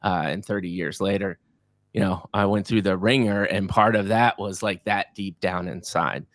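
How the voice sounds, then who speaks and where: Effusive male podcast host in his forties, low voice, dry close mic, quick to repeat himself. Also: no echo. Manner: energetic, effusive, repeats words when excited. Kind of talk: enthusiastic podcast interviewing.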